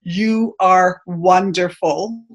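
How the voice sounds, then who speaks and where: A woman's voice: on the low side, steady tone, very slow. Woman telling a story on a video call. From Jamaica. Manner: hesitant, many ums, warm storytelling. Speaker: church member sharing a testimony. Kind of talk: casual testimony on a video call. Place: bright living room at home. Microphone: laptop webcam on video call.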